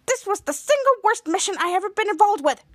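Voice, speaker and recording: A woman's voice: very high voice, lively intonation, fast, fairly bright tone, no echo, voice pushed up. A woman voicing a bossy, exasperated male superhero leader who gives impatient, emphatic commands in a retold story.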